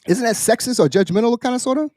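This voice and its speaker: A man hosting a casual podcast chat, halting and repeating phrases as he builds up his questions in an animated way. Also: extremely high pitch, dry recording, studio quality. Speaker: podcast host posing a question.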